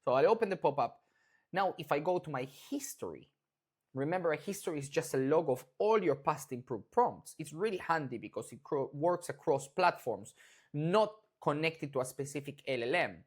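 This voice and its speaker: A software maker from Argentina presents product updates in English with an Argentine Spanish accent. The voice sits in a middle pitch range. The delivery is casual and enthusiastic, like an informal demo for users. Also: expressive, normal speed, husky.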